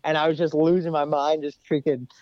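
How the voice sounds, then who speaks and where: A young man talks casually as a podcast guest, rambling through his stories with plenty of "dude" and "you know". His voice sits a little high, and the sound is dry and slightly muffled. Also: little pitch movement, a little fast, no room sound. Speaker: ATV racer guest.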